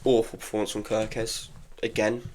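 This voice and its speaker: A man's voice: lowish voice, level tone, moderate pace, a little mellow. A congested young man giving frank, chatty opinions in a casual solo football review, full of restarts. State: congested from illness. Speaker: football podcaster.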